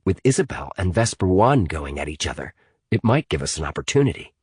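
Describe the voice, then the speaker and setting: A man's voice: sits low, big pitch swings, brisk, a little mellow, slightly rough, no echo, exaggerated voice. Audiobook role, male narrator: resolute teenage girl turned ally, stiff, defensive, dry-humoured, recorded close. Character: stiff, determined teenage girl, distrusted ex-enemy in an adventure novel.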